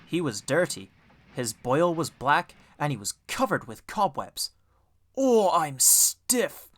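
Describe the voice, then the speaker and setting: Expressive storybook narrator, lively pitch. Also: measured pace. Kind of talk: scripted children's audiobook narration.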